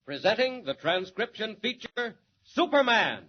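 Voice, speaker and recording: A man's voice: sits high, sing-song, unhurried, lo-fi sound. A man announcing and narrating a 1940s radio serial in a dramatic, declamatory way. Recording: scratchy 1940s radio transcription.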